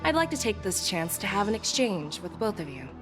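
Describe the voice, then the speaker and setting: A woman's voice: mid-range voice, very animated, brisk, natural voice. Woman acting assured commanding female general, music behind. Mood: assured, formal. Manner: assured, formal, probing. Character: confident, commanding female general, shrewdly probing interrogator. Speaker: video game character. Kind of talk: game voice acting. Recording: game music playing behind.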